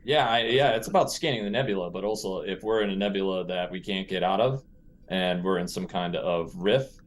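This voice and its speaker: A man's voice: low-pitched, fairly quick, a little hiss or hum. A man talking casually as a player in a tabletop role-playing game.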